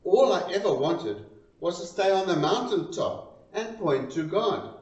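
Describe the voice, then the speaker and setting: Proud, dreaming storybook tree, played by a man, earnest and animated, heard from a distance. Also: high voice, measured pace, voice pushed up. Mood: bewildered, wistful. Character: proud, hopeful, dreaming young talking tree. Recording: roomy, distant, dull lo-fi sound.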